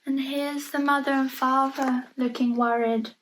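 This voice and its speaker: A woman with a fairly high voice reads a children's story at a leisurely pace. She is expressive and playful, stepping out of the text to chat about the pictures and put questions to the listener. Some background noise sits behind her home recording. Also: measured pace, poor audio. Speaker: storybook reader for young children. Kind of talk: read-aloud storytime with chatty asides about the pictures.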